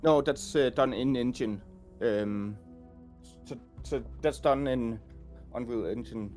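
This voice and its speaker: A man working as a game audio designer, talking casually and haltingly while showing a game. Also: medium pitch, a little mellow, soft background music. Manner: hesitant, many fillers and restarts.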